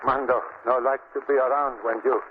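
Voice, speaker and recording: An actor playing a fearful, servile henchman who speaks halting broken English in an old radio horror drama. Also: mid-range voice, level tone, lightly acted. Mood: frightened, pleading. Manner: halting, simple-minded, pleading. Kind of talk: 1940s radio horror acting. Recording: muffled, noisy old radio.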